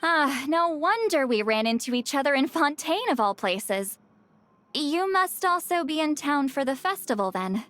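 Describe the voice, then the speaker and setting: Game role: prickly, huffy slacker woman, high and lively delivery, faint music behind. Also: moderate pace. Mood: composed. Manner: huffy, dry, sighing. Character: prickly, self-conscious woman, easily embarrassed, fond of slacking. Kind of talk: animated game voice acting.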